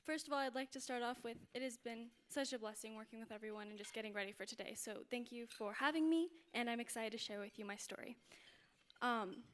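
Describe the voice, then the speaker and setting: A woman's voice: fairly high pitch, quick pace, a bit bright. A young woman in her late teens giving prepared, formal and earnest answers as a panel guest. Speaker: panel guest, student speaker. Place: indoor luncheon event before an audience.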